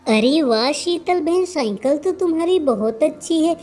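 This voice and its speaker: Woman as a high-voiced pleading village girl. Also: animated, a little slow, a little mellow, soft background music. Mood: eager. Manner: childlike, pleading. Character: poor young village girl, pleading and stubborn. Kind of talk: cartoon story voice acting.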